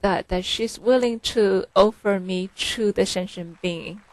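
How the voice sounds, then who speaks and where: Halting woman interpreter. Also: medium pitch, rough recording. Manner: halting, searching for words. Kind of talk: live consecutive interpreting of a sermon.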